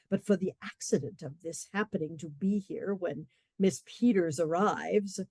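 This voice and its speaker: A woman's voice: mid-range voice, warm tone, no room sound. A woman narrating a comic radio play in scripted storytelling style.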